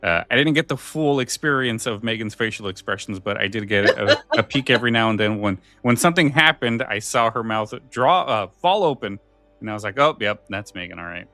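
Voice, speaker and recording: Bright-voiced low-pitched man hosting a podcast. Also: expressive, fairly quick, dry recording, faint music behind. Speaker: podcast co-host. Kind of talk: casual chat with read-out story summaries.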